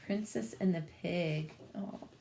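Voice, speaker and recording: A woman teacher reading a picture book aloud to children, expressive and often putting questions to her listeners. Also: fairly low pitch, takes it slowly, vocal fry, slight background noise.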